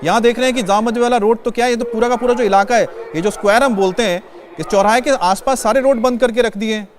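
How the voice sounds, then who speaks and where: A male news anchor talks quickly in a high-pitched voice. He puts live questions and observations to a field reporter in broadcast style. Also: steady tone, crowd noise.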